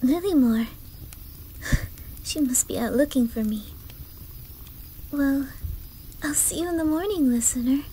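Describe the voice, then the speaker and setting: A woman voicing a gentle, timid young boy with an imitated Swedish accent, speaking hushed and hesitantly in an ASMR roleplay. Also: sits high, a little hiss or hum, low-quality recording. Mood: happy, eager. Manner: hushed, hesitant, halting, often whispery.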